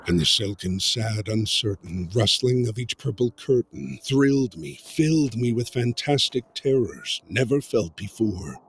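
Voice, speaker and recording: A man giving a dramatic reading of a poem, with a slow, brooding, theatrical delivery that drops to hushed low passages. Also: lively intonation, slight background noise. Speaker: reader.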